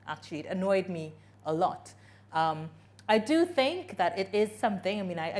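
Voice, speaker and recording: Trinidadian woman, middle-aged, lowish voice, earnest conversational Q&A answer. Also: darkish tone. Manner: earnest, conversational, with frequent "you know" and restarts. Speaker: invited speaker answering audience questions. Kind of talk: conference Q&A answer.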